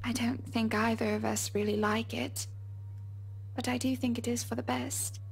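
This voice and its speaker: Young wife, high voice, composed, reassuring, gently teasing, game dialogue. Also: female voice, normal speed, vocal fry, slightly rough. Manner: calm, reassuring, dryly teasing. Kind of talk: visual novel game acting.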